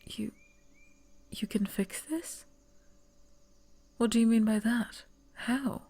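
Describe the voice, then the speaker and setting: A woman voicing a young noblewoman who would be heir, whispering softly and stammering when flustered, in an ASMR roleplay. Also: on the high side, slow pace, husky, heavily acted.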